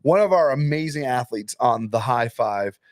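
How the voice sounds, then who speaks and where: A young man hosting a casual podcast, hyping up matches and sponsors with excitable energy. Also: medium pitch, normal speed, no echo. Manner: hyped, excitable, promotional. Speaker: podcast host and arm wrestling promoter.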